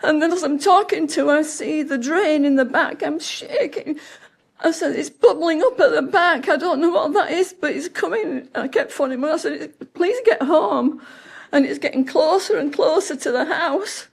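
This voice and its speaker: A woman in her seventies, her voice sitting high, speaks at a county meeting while distraught and close to tears. She halts, breaks off mid-word and slips into nervous laughter. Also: normal speed, creaky. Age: 70s. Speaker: resident giving public comment. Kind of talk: public comment at a county meeting.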